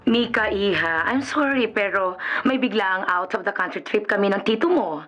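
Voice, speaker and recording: An actress playing a generous but insistent middle-aged aunt in a radio drama, with a Filipino accent. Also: female voice, mid-range voice, lively intonation, normal speed, fairly bright tone, muffled recording, soft background music, close to an everyday voice. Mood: affectionate, insistent. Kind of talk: Tagalog radio drama acting.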